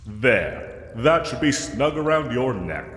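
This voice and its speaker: A man's voice: mid-range voice, very animated, slow pace, a bit bright, a little hiss or hum. Man acting pompous fantasy knight, theatrical, cavernous reverb. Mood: firm, reassuring. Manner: formal, archaic, grandly theatrical diction. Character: pompous, gallant male knight, condescending yet secretly soft-hearted. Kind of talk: scripted fantasy audio roleplay. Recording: echoey cave-like reverb, music underneath.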